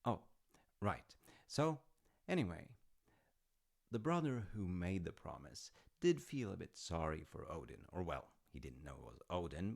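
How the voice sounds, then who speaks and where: A man's voice: low-pitched, vocal fry, a little mellow, exaggerated voice. A man playing an affectionate, proud dad in an audio roleplay, rambling through stories in a musing, conversational way with hesitant asides. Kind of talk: intimate rambling storytelling roleplay.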